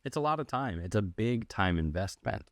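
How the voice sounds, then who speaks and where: Male interviewer, low register, relaxed podcast-style questioning, tightly dry recording. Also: normal speed, no echo. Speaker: podcast host. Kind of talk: casual interview.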